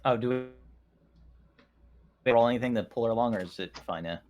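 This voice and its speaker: A man with a middle-pitched voice talks as a player in a tabletop roleplaying game. His delivery is casual and somewhat halting. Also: a little mellow.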